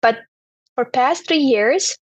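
A woman's voice: sits high, takes it slowly, husky, no room sound. A young woman from Turkmenistan, a podcast guest earnestly telling her personal story in casual talk.

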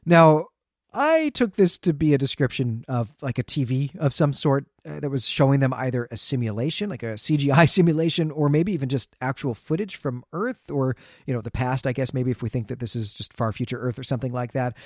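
A man co-hosts a literary discussion podcast. He explains details in a conversational way, pausing to check that the point lands. His mid-pitched voice is recorded very dry and close, with hardly any room around it. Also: no room sound. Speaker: podcast host.

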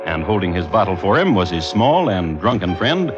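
An actor playing a wry, genteel crime connoisseur, narrating with dry, measured delivery as a radio host. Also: male voice, low-pitched, very animated. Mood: solemn, wry. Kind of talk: 1950s radio scripted narration. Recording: muffled old radio recording, music behind.

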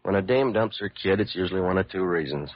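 A man's voice: sits low, animated, quick pace, slight background noise. A man acting a cynical, world-weary police lieutenant in a classic radio drama, blunt and slangy. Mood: matter-of-fact. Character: cynical, hard-boiled male police lieutenant. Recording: muffled old radio recording.

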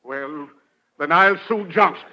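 A man's voice: fairly high pitch, measured pace, pushed and bright. A man playing a pompous, touchy, boastful middle-aged uncle who blusters in florid phrases in a 1940s radio comedy. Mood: indignant. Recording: dull vintage 1940s radio recording.

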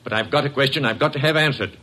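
An actor playing a troubled, impatient millionaire in an old radio drama, brusque and indignant. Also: male voice, medium pitch, very fast, bright, forceful tone, poor audio. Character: troubled, impatient millionaire businessman seeking a detective.

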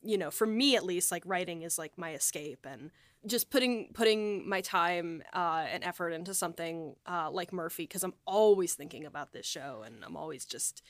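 A woman's voice: lowish voice, animated, moderate pace, no room sound. A woman writer and producer in a casual behind-the-scenes discussion, talking in a reflective, rambling way.

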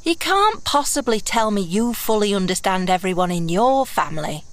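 A woman's voice: medium pitch, lively intonation, normal speed, natural voice. A woman playing a brash, blunt runaway heiress turned thief in a fantasy audio drama, sarcastic and colloquial with old-fashioned turns of phrase. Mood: guarded, defiant.

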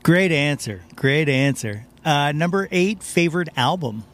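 A man's voice: on the high side, expressive, a little slow, a bit bright. A man hosting a podcast, putting questions to his guest in a casual conversational way. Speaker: podcast host.

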